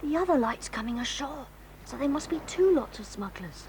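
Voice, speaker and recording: A brave, sensible teenage boy on an old story tape. His voice sits fairly high and comes in urgent, hushed whispers. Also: animated, moderate pace, a little hiss or hum. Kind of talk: 1980s radio-style audio drama.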